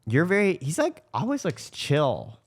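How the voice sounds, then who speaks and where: A man in casual podcast conversation with an animated, almost cartoonish way of talking. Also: a little high, unhurried, slightly rough. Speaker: podcast host.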